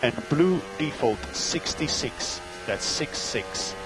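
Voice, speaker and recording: A man's voice: mid-range voice, animated, slow, heavy background noise. A man working as a race engineer, giving clipped, matter-of-fact instructions and gap updates over team radio, often repeating key points.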